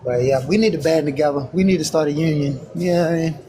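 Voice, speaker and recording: A man in his late thirties with a somewhat high, squeaky voice, telling joking stories in a loose, profane way. A faint hiss of noise sits behind him. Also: fast talker, warm tone. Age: late 30s. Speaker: podcast co-host. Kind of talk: casual chat.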